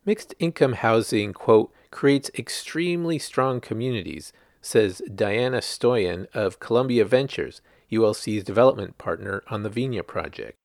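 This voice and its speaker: A man's voice: fairly low pitch, lively intonation, moderate pace, vocal fry, hardly any pauses, a little mellow, no room sound, studio quality. A man reading a news article aloud as a scripted narration, in the manner of a reporter presenting a written story.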